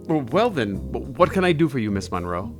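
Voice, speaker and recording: A man's voice: mid-range voice, big pitch swings, fairly quick, over music, natural voice. A man acting an earnest, polite superhero with a mild-mannered alter ego in a radio play. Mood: awkward, polite.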